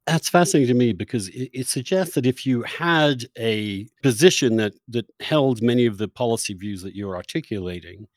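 A man's voice: medium pitch, lively intonation, moderate pace, few pauses, dry recording. A man interviewing in a conversational, thoughtful way, searching for his words and rephrasing as he goes. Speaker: interviewer, podcast host.

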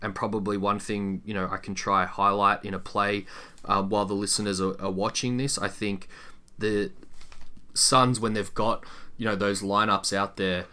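A man's voice: fairly low pitch, level tone, normal speed, pushed and bright, smooth. A man co-hosting a sports podcast, talking through analysis casually and at length, with explanatory asides.

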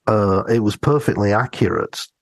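A man with a lowish, husky voice in a dry, close recording. He speaks as a podcast co-host, measured and reflective, hesitating a little as he puts his thoughts together. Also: lively intonation, dry recording. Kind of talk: casual discussion of a radio drama.

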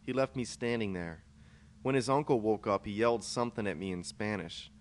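A man's voice: lowish voice, a little fast. A man reading his own first-person short story aloud as scripted narration. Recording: clear background noise, amateur podcast recording.

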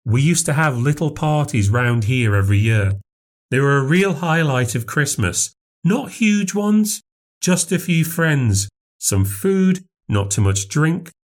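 A man's voice: medium pitch, sing-song, measured pace, voice pushed up. A man voicing a genteel, self-righteous grandmother in an audiobook, prim and insistent. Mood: fond, nostalgic. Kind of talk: audiobook character voice.

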